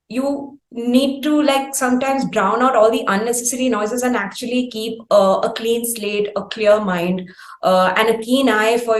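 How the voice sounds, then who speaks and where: Woman interviewer, roomy echo. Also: medium pitch. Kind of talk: conversational interview. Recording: roomy, echoing sound.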